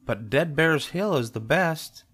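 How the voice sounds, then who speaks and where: Man doing young girl bear cub, raised pitch, friendly, casual, slightly hesitant, children's storybook. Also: animated, a little slow, a bit bright. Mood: casual, agreeable.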